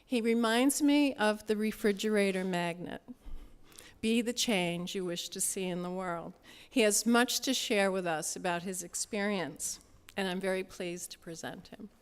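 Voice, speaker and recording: A woman giving a prepared introduction of a speaker at a conference, in a measured, formal way. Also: medium pitch, clean tone. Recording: reverberant hall sound.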